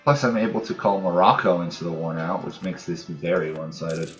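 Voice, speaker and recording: Low-voiced man doing casual game commentary. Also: fairly quick, a bit bright, muffled recording. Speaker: gamer, host of a let's play series. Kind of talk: casual strategy game commentary, thinking aloud.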